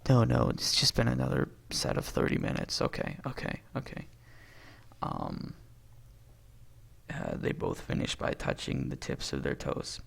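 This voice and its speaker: A husky-voiced man reading a film script aloud, stage directions and dialogue alike, stumbling and correcting himself and breaking off into asides. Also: mid-range voice, huge pitch swings, measured pace. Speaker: amateur reader doing a long script read-aloud.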